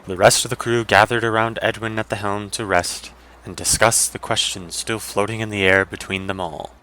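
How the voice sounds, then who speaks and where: Low, bright-toned male story narrator. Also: little pitch movement, normal speed, clean tone, poor audio. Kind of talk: scripted narration of a fantasy adventure story.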